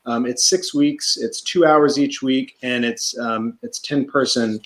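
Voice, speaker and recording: A man's voice: medium pitch, steady tone, measured pace. A man interviews an instructor for a comedy theater over a video call, rambling in a chatty way and joking as he goes. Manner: chatty, rambling, keeps making jokes. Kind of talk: casual interview.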